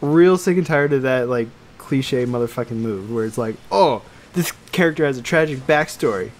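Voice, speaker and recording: A man's voice: mid-range voice, normal speed. Man chatting casually over background noise. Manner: sweary, excitable fan talk. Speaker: podcast co-host. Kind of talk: casual podcast chat.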